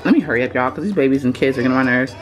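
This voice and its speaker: A woman's voice: rumbling low, racing. Young Texan woman, casual vlogger, straight-talking, says y'all a lot; handheld camera in a home kitchen, faint music underneath. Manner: chatty, direct, addresses viewers as y'all. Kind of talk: casual vlog chat. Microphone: handheld camera at arm's length.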